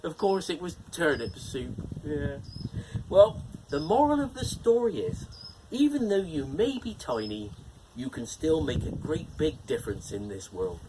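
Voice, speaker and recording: A friendly, playful gnome reads a bedtime fairytale. His fairly high voice swoops up and down with lively energy, and he slips in teasing jokes as he goes. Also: a little slow, background noise. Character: cheerful male gnome storyteller, friendly and playful. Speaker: storyteller host.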